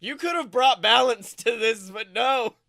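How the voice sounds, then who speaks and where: A man with a notably high voice and a bright, forceful edge chats as a podcast co-host. He is excitable and informal, leaning on slang and swearing freely as he reviews. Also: a little slow, vocal fry, no echo, clean studio sound. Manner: self-described nerd, animated. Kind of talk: casual film review chat.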